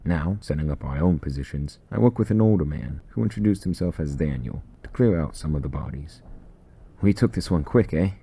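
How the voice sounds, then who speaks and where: Very deep, husky male story narrator. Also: expressive, fairly quick, hardly any pauses, mellow. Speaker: author reading own short story. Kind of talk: scripted first-person story narration.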